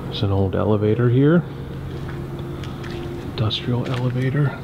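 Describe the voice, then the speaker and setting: A man's voice: mid-range voice, vocal fry, lo-fi sound. A young man exploring an abandoned warehouse, talking casually on a handheld camera and often dropping to a whisper. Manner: slow, often hushed to a whisper. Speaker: urban explorer vlogger. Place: huge empty abandoned warehouse indoors. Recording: loud wind and site noise.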